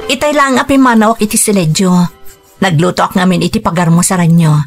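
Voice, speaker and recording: Radio drama role: gentle, caring Filipino aunt, mid-range voice. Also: female voice, medium pitch, brisk, vocal fry, faint music behind. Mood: soothing. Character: caring, gentle aunt Tanya looking after children. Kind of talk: Ilocano radio drama acting.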